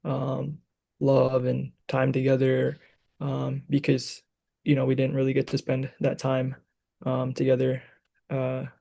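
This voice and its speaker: A man's voice: medium pitch, monotone, a little slow, creaky, dark tone. A young man from Michigan, a graduate student, chatting casually as a podcast guest, hesitant, with many fillers. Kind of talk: casual chat.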